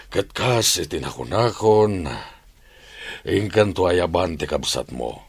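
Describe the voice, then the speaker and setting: A man playing a kindly, wealthy older employer in an Ilocano drama, speaking in a measured, deliberate way. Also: sits low, animated, slightly rough, dry recording, heavily acted. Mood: kindly, earnest. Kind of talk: Ilocano audio drama acting.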